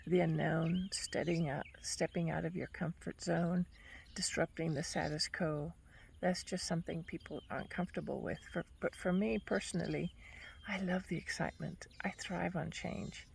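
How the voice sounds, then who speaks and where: Woman, low gravelly voice, reflective solo talk, recorded outside with a faint hiss. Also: fairly even intonation, a little mellow. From Argentina. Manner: thoughtful, unhurried, reflective. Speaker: podcast host. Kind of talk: reflective personal monologue. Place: outdoors on a bench.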